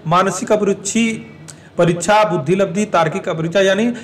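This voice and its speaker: A man's voice: high voice, normal speed, far from the mic. A man giving an explanatory talk to exam aspirants, repeating and rephrasing his points as he goes. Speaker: exam information presenter.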